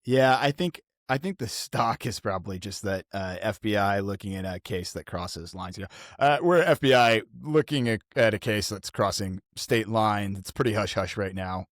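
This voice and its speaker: A man's voice: lowish voice, moderate pace, hardly any pauses, dry recording, studio quality. A man playing a tabletop role-playing game, talking casually and tentatively as he thinks aloud.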